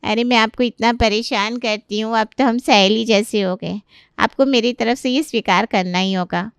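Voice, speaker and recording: Casting: actress as pushy, chatty cartoon neighbour woman, highish and crisp. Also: fairly even intonation, moderate pace, no echo, clean studio sound, lightly acted. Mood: grateful. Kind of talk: Hindi cartoon story character voice.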